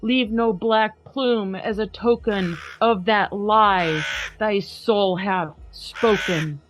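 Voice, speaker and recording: Slow dramatic woman reading poetry. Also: medium pitch, soft background music. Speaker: podcast host. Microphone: video call.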